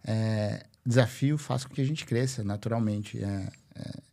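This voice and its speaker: A man hosting a casual interview, talking haltingly and anecdotally with frequent tag questions. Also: on the low side, vocal fry, dark tone. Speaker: interview host.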